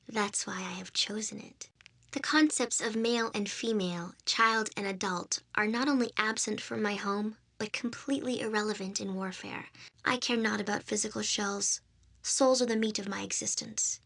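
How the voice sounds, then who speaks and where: An ancient demon warlord in female form, speaks with heavy creak at a middle pitch. She is cold, haughty and menacing, a video game villain with formal, ornate phrasing. Her words often sink into a breathy whisper of contempt. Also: a bit bright. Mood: condescending, cold.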